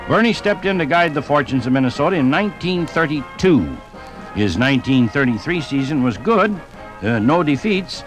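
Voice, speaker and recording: Animated, higher-voiced man narrating sports history. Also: low-quality recording. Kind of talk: scripted radio narration, 1950s broadcast.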